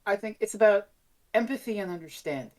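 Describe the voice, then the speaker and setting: A woman's voice: mid-range voice, animated, fast. A woman co-hosting a podcast in casual, reflective discussion, earnest, feeling her way through phrases and sometimes swearing. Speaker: podcast co-host.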